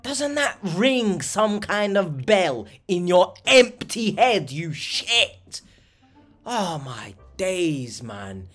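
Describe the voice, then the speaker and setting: A man's voice: high voice, very animated, extremely slow, fairly bright tone. A young man giving blunt, exasperated reaction commentary with plenty of swearing. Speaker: reaction video host.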